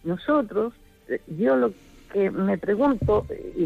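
A woman's voice: medium pitch, expressive, slow pace, creaky, soft background music. A woman from Chile calling in to a religious radio show, talking in an enthusiastic and rambling way. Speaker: caller. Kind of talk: phone-in call. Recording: muffled phone-line call on radio.

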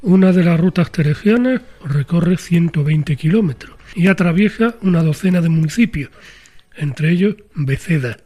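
A man reading a formal news voice-over in a clear way. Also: fairly high pitch, lively intonation, moderate pace, dark tone. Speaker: news narrator. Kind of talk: scripted voice-over narration.

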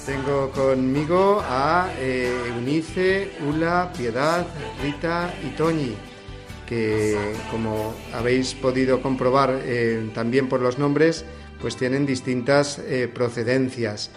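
A man with a Spain Spanish accent hosts a Sunday religious radio show at a middling pitch. He speaks in a measured, welcoming way and often pauses on "eh" fillers. Soft music plays faintly behind him. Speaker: radio host.

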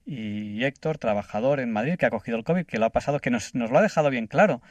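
A man's voice: medium pitch, fast, dry recording, highs cut off. A man hosting a radio interview, reflective and affirming, with some hesitations. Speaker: radio host.

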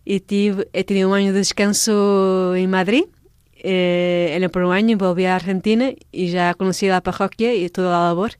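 A Portuguese-born religious sister speaking Spanish as a guest in a radio interview, pausing often and restarting her words. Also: medium pitch, steady tone, darkish tone, smooth, no echo, clean studio sound. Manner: halting, searching for words, many restarts.

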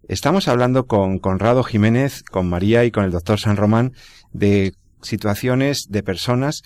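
A man hosting a radio program from a studio, formal and measured. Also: fairly low pitch, a little mellow, no echo. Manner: formal, measured presenter with some restarts. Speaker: radio host.

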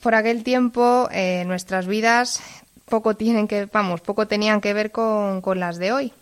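Woman, late 20s, guest testimony, gentle halting delivery. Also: mid-range voice, fairly even intonation, clear, resonant tone. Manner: gentle and halting, many fillers. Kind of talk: personal testimony.

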